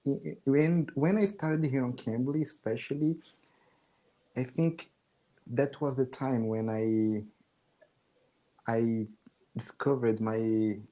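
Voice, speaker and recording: A man in his twenties who is learning English, speaking slowly on a video call with frequent restarts and small laughs. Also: mid-range voice, fairly even intonation, mellow. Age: 20s. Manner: slow and halting, restarts often, laughs between phrases. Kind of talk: casual video-call chat. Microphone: video call headset or laptop mic.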